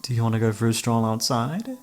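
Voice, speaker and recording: A man's voice: medium pitch, big pitch swings, fairly quick, darkish tone. A man acting an obsessive, flirtatious serial killer who holds a detective captive, speaking with teasing mock courtesy in an ASMR roleplay. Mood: calm, coaxing. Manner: teasing, mock-polite, theatrical, savouring words. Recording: background music under the voice.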